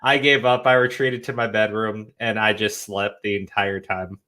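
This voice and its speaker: A man's voice: lowish voice, flat delivery, normal speed, bright, forceful tone. A young man hosting a casual live stream, with a cold and a cough, rambling with many restarts. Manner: rambling, stumbling restarts, laid-back. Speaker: host.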